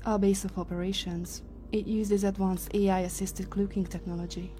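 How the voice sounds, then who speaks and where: Sci-fi audio drama: actress as female time-traveler leader, mellow, measured, in command, light music bed. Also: medium pitch, flat delivery, lightly acted. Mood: composed. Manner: measured, authoritative, explanatory. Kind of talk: science fiction audio drama acting.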